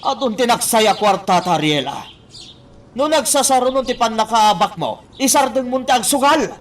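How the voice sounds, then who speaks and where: A man's voice: very high-pitched, lively intonation, moderate pace, fairly bright tone, recorded from a distance. A man playing an exasperated, reproachful partner who scolds in an Ilocano radio drama. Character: reproachful, exasperated partner demanding a fair share.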